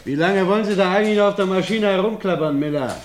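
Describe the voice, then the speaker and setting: An actor playing a calm, pipe-smoking police inspector in a 1950s radio play, dry and unhurried. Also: male voice, high voice, a little hiss or hum, lightly acted. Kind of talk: 1950s radio drama acting. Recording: old mono radio play recording.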